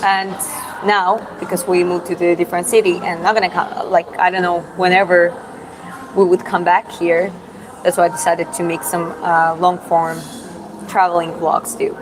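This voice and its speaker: A woman chats through a casual livestream Q&A, answering viewers in an unhurried, thoughtful way. Her voice sits on the low side, with plenty of pauses, fillers and restarts. Clear background ambience from a public spot carries under her phone mic. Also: little pitch movement, background noise. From Georgia (country). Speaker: livestream host answering chat. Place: public spot in Da Nang with other people around.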